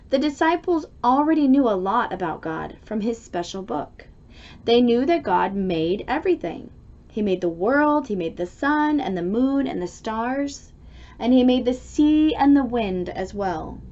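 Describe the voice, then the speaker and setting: A woman reading a children's storybook aloud expressively, with dramatic, deliberate emphasis. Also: a little high, warm tone, highs cut off.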